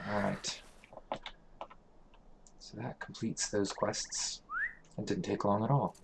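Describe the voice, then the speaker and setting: A man hosting a let's play, talking casually through a game with a hoarse, congested voice and many fillers. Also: fairly low pitch, noisy background. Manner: relaxed, halting, thinking aloud with many fillers. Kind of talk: casual gameplay commentary.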